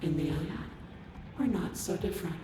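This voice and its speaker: A woman's voice: fairly low pitch, moderate pace, noisy background, natural voice. A woman playing a ghastly, vengeful drowned princess in a horror game, eerie and detached, with an echoing voice. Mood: cold, haunting. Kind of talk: dramatic video game acting.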